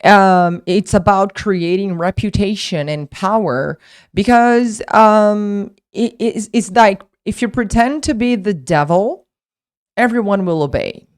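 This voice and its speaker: A woman's voice: medium pitch, slow pace. A young woman whose first language is Portuguese, teaching English in a casual online lesson, talking in a chatty way with many fillers and restarts.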